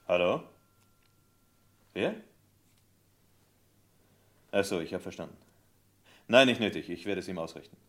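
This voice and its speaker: A dutiful police lieutenant with a low voice. He reports in a matter-of-fact, formal way in a 1960s radio drama. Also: animated, measured pace, long pauses.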